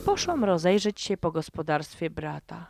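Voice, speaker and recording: A woman narrating a first-person horror story in a slow, dramatic storytelling manner. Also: fairly low pitch, very animated, creaky. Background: background music.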